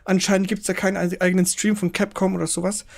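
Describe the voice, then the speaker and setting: A man's voice: high-pitched, level tone, quick pace, creaky. A man streaming live, making casual jokey remarks while he watches a showcase. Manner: chatty, jokey asides over the stream.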